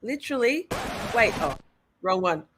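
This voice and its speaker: A woman's voice: medium pitch, sing-song, normal speed, background noise. Playful woman streamer chatting. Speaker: streamer reacting. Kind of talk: casual stream commentary.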